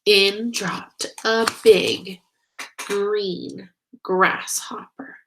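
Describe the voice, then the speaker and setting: A woman reading a children's picture book aloud, expressive and slow, stopping to ask the listeners questions. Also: medium pitch, a little hiss or hum, poor audio. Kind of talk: children's read-aloud narration.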